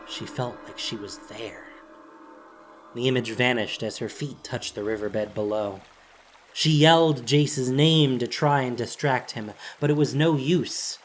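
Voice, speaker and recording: A man's voice: mid-range voice, measured pace, faint music behind. A man reading scripted fantasy narration in a dramatic storytelling style, as the narrator of an audio drama.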